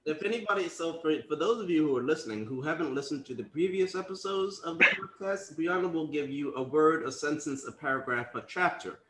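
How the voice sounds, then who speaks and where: A man's voice: a little high, fairly quick, few pauses, echoey room, background noise. A man hosting a casual podcast, conversational and playful, often repeating words as he goes. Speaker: podcast host.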